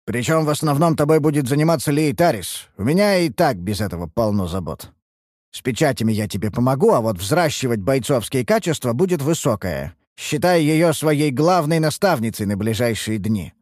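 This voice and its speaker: A man's voice: mid-range voice, animated, normal speed, darkish tone, no room sound, clean studio sound, natural voice. A man voicing a sardonic, bossy mage master with a mocking, persuasive manner in a fantasy audiobook. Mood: commanding.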